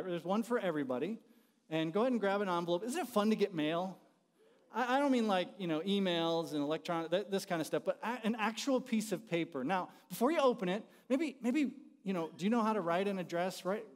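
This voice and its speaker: A middle-aged American man giving an informal, conversational teaching talk to an audience, often putting questions to them. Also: a little high. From born in the United States, raised partly in Haiti. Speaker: university dean and teacher, conference speaker. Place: large church auditorium, speaking from the front by the stage. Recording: reverberant hall sound.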